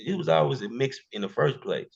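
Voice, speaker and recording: Casual podcast guest, male, gravelly voice, heavy slang and cursing, muffled lo-fi sound. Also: medium pitch, animated, a little fast. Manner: slangy, profane street talk.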